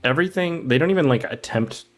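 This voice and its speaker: A man's voice: a little high, animated, fast, faint music behind. A man co-hosting a podcast, discussing films in an informal, conversational way. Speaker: podcast co-host. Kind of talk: casual film discussion.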